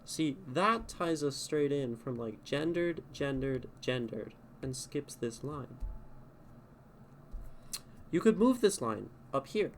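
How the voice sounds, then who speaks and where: A man's voice: medium pitch, animated, slow pace, creaky, slight background noise. A man critiquing a poem on a live stream, in a casual way, thinking aloud as he rereads lines and tries out edits. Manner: thinking aloud, reading lines back and reworking them. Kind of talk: casual spoken poetry critique. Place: home streaming setup.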